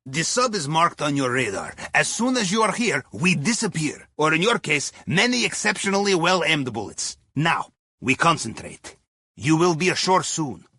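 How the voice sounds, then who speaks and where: Husky, animated Russian submarine captain. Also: male voice, a little high, moderate pace, dry recording, full character voice. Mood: focused, brisk. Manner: wry, playful, conspiratorial asides. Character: wry, jovial Russian submarine captain and heist accomplice. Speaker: mission guide character in an action video game. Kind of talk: scripted video game mission voice lines.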